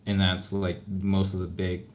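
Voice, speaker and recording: A man's voice: sits low, completely flat delivery, measured pace. A native Spanish-speaking man, a player in a tabletop game stream, chatting casually and explaining things in a hesitant, repetitive way. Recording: muffled online voice chat with background noise.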